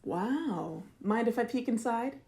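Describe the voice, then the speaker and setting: A woman playing a curious, sly undercover investigator in an audio drama, casual and measured. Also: fairly high pitch, lively intonation, creaky, a little mellow, slight background noise, exaggerated voice. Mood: casually probing. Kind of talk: audio drama narration and natural dialogue.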